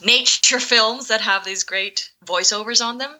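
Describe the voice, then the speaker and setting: Woman, voice actor and coach; very bright tone, chatty, laughs easily. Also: a little high, unhurried. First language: Finnish and English. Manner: chatty, laughs often, restarts sentences. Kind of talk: casual interview answers.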